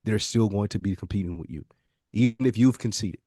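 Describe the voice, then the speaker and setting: A man's voice: on the low side, a little fast, dark tone, husky, no room sound, clean studio sound. A man co-hosting a podcast, explaining his point insistently in a casual debate. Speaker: podcast co-host.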